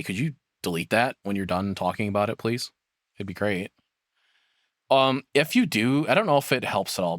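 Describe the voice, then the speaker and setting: A man with a fairly low voice reacts to a video as a streamer would, in a close, dry recording. His delivery is informal and rambling, with casual swearing mixed in. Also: a bit bright, no room sound.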